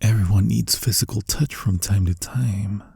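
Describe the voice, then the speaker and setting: A man voicing a flirty, tender incubus demon in an ASMR roleplay, breathy and husky, coaxing slowly and reassuringly, often sinking into a whisper. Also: on the low side, warm tone, no echo, full character voice. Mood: understanding, gentle. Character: flirty male incubus demon, tender, soothing, gently dominant. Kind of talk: ASMR roleplay, intimate comforting monologue.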